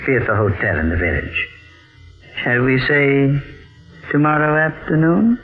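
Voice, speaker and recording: An oily, mock-courteous blackmailer in an old radio thriller. His suave drawl hesitates and slides up and down widely. The sound is muffled and narrow, with clear music behind it. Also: mid-range voice, very animated, measured pace. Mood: smug, oily. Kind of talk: 1940s radio drama acting.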